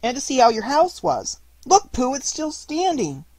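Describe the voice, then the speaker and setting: Guy doing a tiny anxious storybook pig, high lively voice, earnest and polite. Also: moderate pace, no room sound, exaggerated voice.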